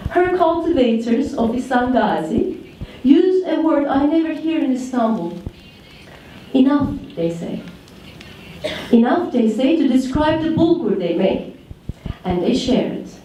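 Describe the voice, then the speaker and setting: A woman with a Turkish accent delivers a slow, repetitive, poetic speech from a stage. Her voice is mellow and a little high. It comes from well back in a big, echoing hall with a faint hum behind it. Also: dull audio. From Turkey. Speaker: conference speaker. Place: large conference hall. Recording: echoey hall, speaker far from mic.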